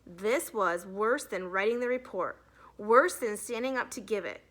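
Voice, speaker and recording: A woman's voice: medium pitch, unhurried, fairly bright tone. A woman narrating a children's storybook expressively, like a teacher reading aloud to a class.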